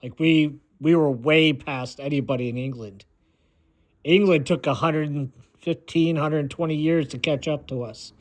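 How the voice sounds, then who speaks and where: An American man giving a rambling, opinionated monologue in a blunt, dismissive way, often halting and repeating himself. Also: on the high side, darkish tone. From United States. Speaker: video maker.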